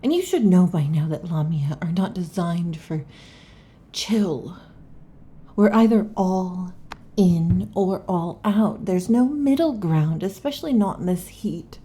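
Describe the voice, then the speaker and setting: A woman voicing a restless, irritable female snake-tailed lamia in an ASMR roleplay, huffy and dramatic, often slipping into soft whispers. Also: on the low side, expressive, takes it slowly, slightly rough, heavily acted. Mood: exasperated.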